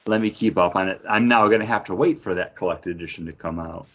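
A man's voice: low voice, quick pace. A man chatting casually about comics as a podcast co-host. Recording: dull lo-fi podcast audio.

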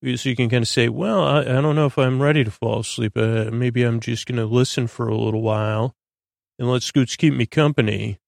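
A man hosting a bedtime podcast in a creaky, lulling voice, droning along in a halting, stammering, meandering monologue meant to help listeners sleep. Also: mid-range voice, fairly even intonation, no echo, studio quality. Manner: halting, stammering, repeats and plays with words. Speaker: podcast host.

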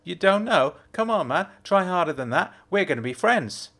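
A man's voice: high-pitched, sing-song, normal speed, pushed and bright, voice pushed up, heavily acted. A man voicing a brash, bossy young woman student who fires off pushy, teasing questions.

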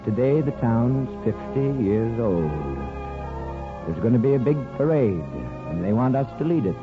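An actor playing a gentle, folksy small-town barber and grandfather in a 1950s radio drama, measured and reflective. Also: mid-range voice, expressive, lightly acted. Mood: wistful reminiscence. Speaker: lead actor and narrator. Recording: muffled 1950s radio broadcast, music behind.

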